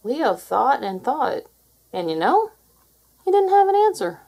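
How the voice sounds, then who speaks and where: Lady reading a kids story out loud, real expressive, bit husky. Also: high voice, measured pace. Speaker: storybook reader. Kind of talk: expressive children's story read aloud.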